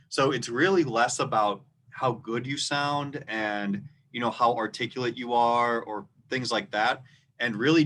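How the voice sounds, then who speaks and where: A man with a rural Minnesota accent, a debate coach teaching students in an instructional video, speaking loudly and deliberately so each word stands apart. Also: mid-range voice, a little hiss or hum.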